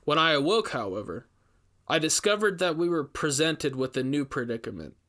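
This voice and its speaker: A man playing an investigator who recounts a frightening ordeal in measured, emphatic, scripted first-person narration. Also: a little high, animated, sharp tone. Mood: grim, suspenseful. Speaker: narrator reading a story.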